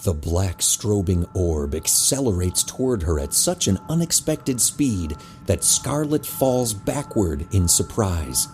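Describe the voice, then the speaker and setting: A man's voice: low-pitched, big pitch swings, slow, few pauses. A man reading scripted narration for an audio drama as its narrator. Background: music.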